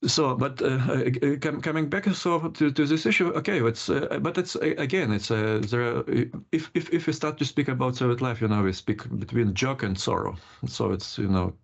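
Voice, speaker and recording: Older man, interview guest, very gravelly husky voice, halting delivery, muffled call audio. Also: medium pitch. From Lithuania. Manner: halting, many restarts and laughs. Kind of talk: casual interview.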